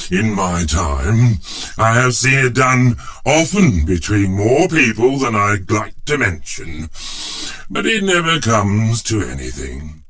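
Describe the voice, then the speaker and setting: Ancient pompous old gent for an audio drama, low voice, talks real slow, cranky and knowing. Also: male voice, sing-song, fairly bright tone, heavily acted. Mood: amused, knowing. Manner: pompous, emphatic, old-fashioned speech. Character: very old talking chair as pompous old gentleman, cantankerous, knowing. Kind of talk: theatrical audio drama dialogue.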